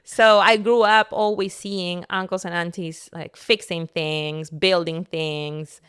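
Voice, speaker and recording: Smooth-voiced woman, lower pitched. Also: steady tone, takes it slowly, a bit bright, dry recording, studio quality. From Peru. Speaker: podcast guest, entrepreneur and content creator. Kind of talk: personal storytelling in casual conversation.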